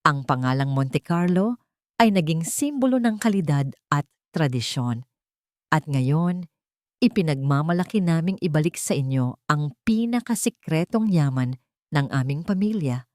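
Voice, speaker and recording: A woman narrator plays a proud, villainous Filipina society matriarch in an audio story. She speaks formally and commandingly, in a fairly low voice, recorded dry and close. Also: darkish tone, dry recording. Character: proud, imperious wealthy matriarch, a scheming villain.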